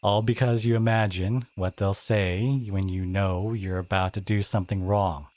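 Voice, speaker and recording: A man playing a teenage school bully who is secretly wounded and caring, speaking in a halting, confiding, sardonic way in an intimate audio roleplay. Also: lowish voice, fairly even intonation, a little mellow, natural voice. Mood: knowing, sardonic.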